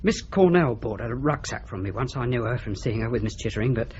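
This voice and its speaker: A man's voice: medium pitch, expressive, brisk, a little mellow, a little hiss or hum, close to an everyday voice. An actor playing a hesitant, nervous shop assistant who stumbles over his words. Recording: dull lo-fi audio with faint ambience.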